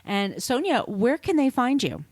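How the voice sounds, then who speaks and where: A woman's voice: on the high side, big pitch swings, a little slow, darkish tone, no room sound. Woman podcast co-host, dry close sound. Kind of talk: casual podcast chat.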